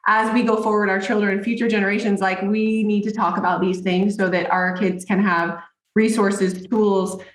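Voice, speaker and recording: A woman's voice: medium pitch, flat delivery, normal speed. Earnest woman in an echoey room. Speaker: guest. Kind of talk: casual chat.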